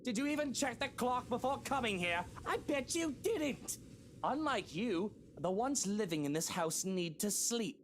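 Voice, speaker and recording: A woman's voice: a little high, lively intonation, unhurried, noisy background. A woman doing a furious scornful man. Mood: angry. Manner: snapping, scornful. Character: furious rude man woken at dawn.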